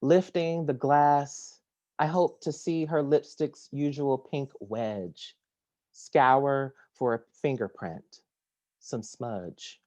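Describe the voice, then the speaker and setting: Male poet, unhurried and deliberate, mid-range pitch, close dry sound, reading and introducing work. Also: a little mellow, no room sound, muffled recording. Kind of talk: poetry reading with casual introduction. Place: at home on a remote video reading.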